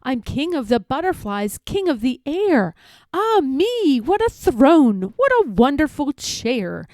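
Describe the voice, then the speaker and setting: A woman's voice: high voice, sing-song, takes it slowly, a little mellow, dry recording, voice pushed up, exaggerated voice. A woman voicing a pompous, bossy, boastful male turtle king in a rhyming storybook, with an imperious, bellowing manner. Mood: gloating, boastful. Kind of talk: rhyming storybook read-aloud.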